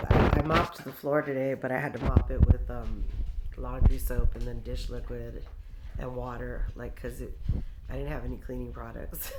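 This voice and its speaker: A woman's voice: extremely low pitch, background noise. A woman in her fifties rambling casually to her viewers, laughing often and drifting from story to story. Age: 50s. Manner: chatty, laughs often, drifts between stories, baby-talks to her dog. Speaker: vlogger. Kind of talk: rambling casual chat to camera.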